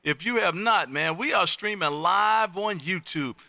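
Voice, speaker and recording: A man's voice: sits high, unhurried, creaky, pushed and bright, no room sound. An American man hosting a live ham radio stream, folksy and exuberant, repeating his phrases as he chats on air. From Texas, United States. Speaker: amateur radio operator and livestream host.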